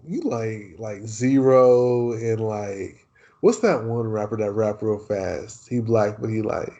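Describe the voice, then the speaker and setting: A man's voice: on the low side, fairly even intonation, slow, warm tone, highs cut off. A man in his twenties who grew up in Texas, co-hosting a casual podcast chat in a laid-back way, repeating himself and laughing easily. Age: 20s. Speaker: podcast co-host.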